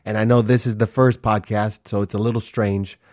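A man's voice: on the low side, level tone, a little fast, a little mellow, no room sound, clean studio sound. A man in his forties, born in Honduras and raised in Minnesota, hosting his own podcast in an earnest, rambling way, full of fillers and restarts. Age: 40s. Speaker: podcast host. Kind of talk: casual solo podcast monologue.

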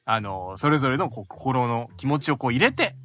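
A man's voice: mid-range voice, sing-song, fairly quick, pushed and bright, faint music behind. A middle-aged man with a Kansai accent co-hosting a casual podcast, explaining the plan and teasing the others with laughs. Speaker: podcast co-host. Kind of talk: casual chat.

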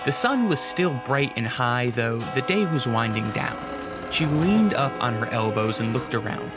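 A man's voice: mid-range voice, big pitch swings, moderate pace, over loud music. A man narrating a scripted radio drama as a storyteller.

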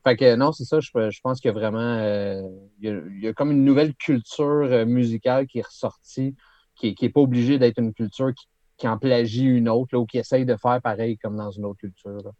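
A man's voice: mid-range voice, fairly even intonation, a little fast, mellow, no room sound, muffled recording. A man with a Québécois accent, a musician guest talking casually with lots of fillers and some laughter. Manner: chatty, laughing, many fillers. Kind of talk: casual interview.

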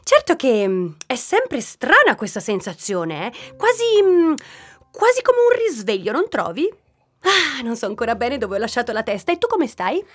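Casting: digressive, theatrical woman guide in an audio drama, high register, very animated delivery. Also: moderate pace, husky, no echo. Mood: dreamy, groggy. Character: lively, scatterbrained woman guide who improvises off script. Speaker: tour guide narrator. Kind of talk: hiking audio drama, chatty dialogue and narration.

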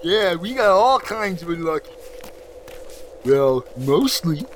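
A man's voice: on the high side, sing-song, takes it slowly, faint music behind, low-quality recording. A man playing a bumbling, easily confused young slacker in a comedic audio drama, slangy and stammering. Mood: sheepish.

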